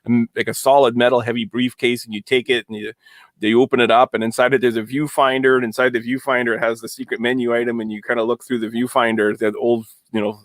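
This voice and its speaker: A man hosting a casual live stream, chatting and joking in a relaxed way with many fillers. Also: medium pitch, no echo. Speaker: host.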